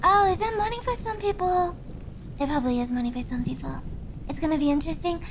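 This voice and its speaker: A young woman streaming, chatting softly and hesitantly with viewers with many fillers. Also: extremely high pitch, fast talker, a little hiss or hum. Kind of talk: casual chat with viewers. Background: faint background music.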